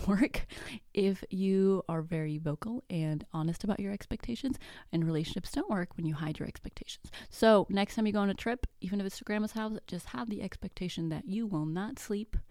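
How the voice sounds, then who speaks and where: A woman's voice: mid-range voice, mellow, dry recording, studio quality. Chatty woman host, native Spanish speaker. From Mexico. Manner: chatty, self-deprecating, jokey asides. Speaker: podcast host. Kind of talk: casual solo podcast.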